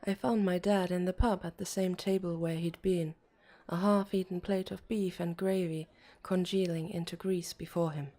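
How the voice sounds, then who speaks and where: A woman narrating an audiobook as a pensive, uneasy teenage boy, in a measured, introspective way. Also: lowish voice, fairly even intonation, dark tone, natural voice. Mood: somber.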